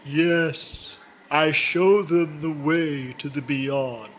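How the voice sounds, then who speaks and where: A man's voice: fairly high pitch, steady tone, very slow, a little hiss or hum. A man playing a weary, sorrowful Grim Reaper in a radio drama, speaking in mournful, archaic, theatrical phrases. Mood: gloomy, weary. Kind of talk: old-time radio drama acting.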